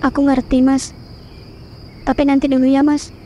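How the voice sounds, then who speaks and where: Voice actor doing a scared young woman in a horror cartoon, high mellow voice, worried and earnest. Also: monotone, normal speed, a little hiss or hum, natural voice. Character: anxious young woman, Ratih.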